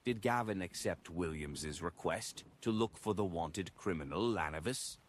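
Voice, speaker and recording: A man voicing a stern, probing woman who questions an informant in a hushed, suspicious manner in an audiobook. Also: sits low, measured pace. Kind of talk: audiobook character dialogue.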